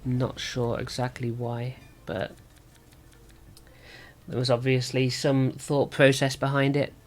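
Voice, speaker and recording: Male gaming video host, medium pitch, sore and slightly rough voice, casual play-by-play, reasoning through moves aloud. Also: monotone, slow. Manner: thinking aloud. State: sore throat. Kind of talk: casual card game commentary.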